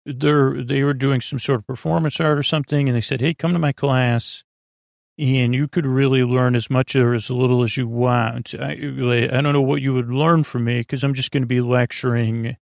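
A man hosting a bedtime podcast in a meandering, hesitant, reassuring monologue, with a creaky voice. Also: fairly even intonation, moderate pace, no echo. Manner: low-pressure, reassuring. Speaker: podcast host.

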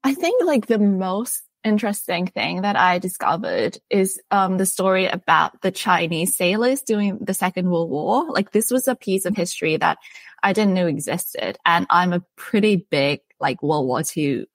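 A woman's voice: on the low side, expressive, normal speed, no echo. Chatty young woman from Thailand. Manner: friendly, chatty, many "like" and "um" fillers. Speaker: author and guest. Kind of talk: casual interview chat.

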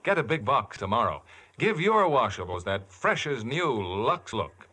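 A man's voice: medium pitch, big pitch swings, measured pace, sharp tone, slightly rough. A man announcing a scripted 1950s radio commercial in a polished, salesmanlike manner.

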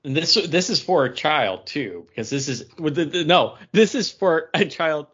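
A man's voice: on the high side, expressive, moderate pace, fairly bright tone, husky, muffled recording. A man chatting casually as a podcast co-host. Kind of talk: casual chat.

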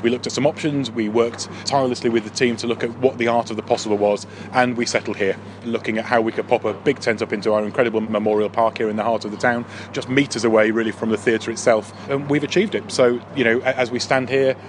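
A British man giving interview answers in an emphatic way, often repeating words for stress. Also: medium pitch. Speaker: theatre manager. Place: inside a circus big top tent. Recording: noisy location recording inside big tent.

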